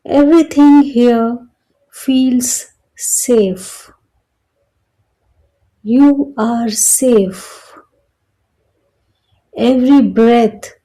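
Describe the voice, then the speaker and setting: A woman guiding a meditation in a calm, soothing manner, speaking in short phrases with long pauses between them. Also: on the high side, poor audio. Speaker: meditation guide. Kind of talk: slow guided meditation narration.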